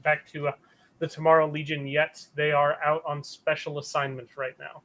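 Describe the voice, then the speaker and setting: A man narrating a tabletop role-playing game as game master, in a relaxed, casual way. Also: on the high side, moderate pace.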